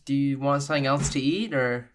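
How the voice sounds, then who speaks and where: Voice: mid-range voice, brisk, slight background noise, natural voice. A player voicing an easygoing, encouraging adventurer in an improvised tabletop game, casual, chatty and reassuring. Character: easygoing, encouraging carnival-going adventurer Dakota.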